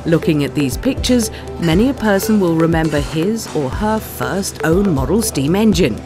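A narrator reading a scripted factory documentary in a measured, explanatory way. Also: medium pitch, lively intonation. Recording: music bed behind narration. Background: background music.

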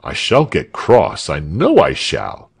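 A man's voice: rumbling low, wildly swooping pitch, slow, gravelly, husky, voice pushed down, full character voice. A man voicing a proud, fussily polite storybook father who is pompous and easily offended. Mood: haughty, irritated.

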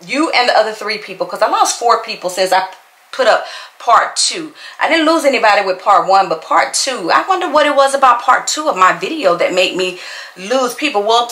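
Sassy woman in her forties, bright voice. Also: medium pitch, reverberant. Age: 40s. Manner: sassy, talks straight to the commenter, repeats herself for emphasis. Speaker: YouTuber. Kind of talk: casual vlog reply to a commenter while doing makeup. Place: bedroom at home, indoors. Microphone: fixed camera at desk.

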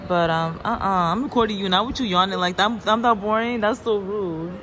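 A young woman from Guinea-Bissau chatting playfully to her viewers on a travel vlog, her voice a bit hoarse. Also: medium pitch, brisk, fairly bright tone, slight background noise. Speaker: travel vlogger. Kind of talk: casual vlog chat to camera. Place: hotel room and lobby at night. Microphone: handheld phone camera.

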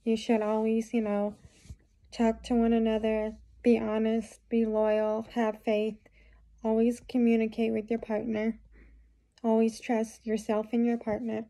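A young woman talking to her phone camera in a small room, giving rambling advice with many fillers, a bit hoarse from a cold. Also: a little high, completely flat delivery, measured pace, mellow. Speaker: vlogger. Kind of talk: casual talk to camera. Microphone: phone camera at close range.

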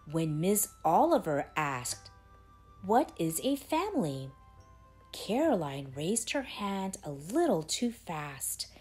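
Woman, children's read-aloud narration, slow and deliberate, expressive, dramatic pauses, soft music behind. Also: lowish voice, husky. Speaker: storybook reader, channel host. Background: background music.

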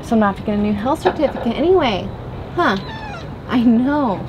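A woman's voice: on the high side, expressive, brisk, highs cut off. Young woman, twenties, casual selfie vlog from a boat deck, chatty and rambling, wind noise heard behind her. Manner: chatty, thinking aloud with fillers. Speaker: sailing vlogger. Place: outdoors on a sailboat deck at anchor. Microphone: camera held at arm's length. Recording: noisy outdoor wind and water.

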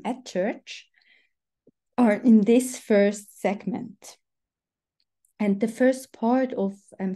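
Woman, forties, Swiss German accent, mellow tone, explanatory academic presenter, video call. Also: medium pitch. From Switzerland. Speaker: guest researcher. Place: indoors at home on a video call. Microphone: computer microphone on video call.

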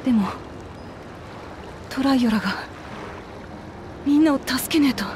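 A passionate young lioness queen of a fantasy game, speaks in a fairly high voice. Her talk is rough, tomboyish and earnest, delivered as dramatic game acting. Clear background ambience sits behind her. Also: a little slow, darkish tone, a little hiss or hum. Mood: shaken.

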